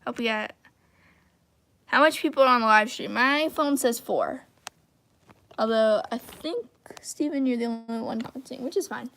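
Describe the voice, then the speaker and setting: Chatty young woman, slightly high voice. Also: moderate pace, creaky, pauses often, fairly bright tone. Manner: chatty, rambling, many "like" fillers. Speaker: live stream host drawing on camera. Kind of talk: casual chat while drawing. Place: indoors at a table.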